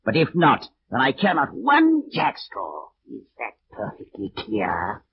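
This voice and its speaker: Mocking aristocratic villain, wildly swooping voice. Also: male voice, medium pitch, huge pitch swings, measured pace. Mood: cold, imperious. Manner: theatrical, mock-courteous, gloating. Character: mocking, sly, villainous aristocratic old French uncle. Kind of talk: 1940s-1950s radio drama acting. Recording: muffled, hissy old radio recording.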